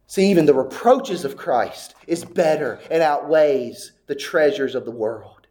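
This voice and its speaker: High-voiced man preaching a sermon. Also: lively intonation, measured pace, warm tone. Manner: preaching with rising intensity, repetitive rhetorical questions. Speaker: pastor.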